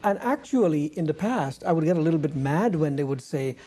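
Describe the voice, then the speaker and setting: Lecturer, mellow voice. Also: medium pitch, expressive, fairly quick. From Trinidad. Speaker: college lecturer on a panel. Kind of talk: panel discussion.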